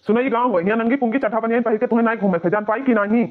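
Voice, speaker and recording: A man's voice: extremely high pitch, racing. A man voicing an exasperated young village husband in a cartoon comedy, nagging and grumbling with an Awadhi accent on muffled lo-fi audio. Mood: scolding. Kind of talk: exaggerated cartoon comedy bickering.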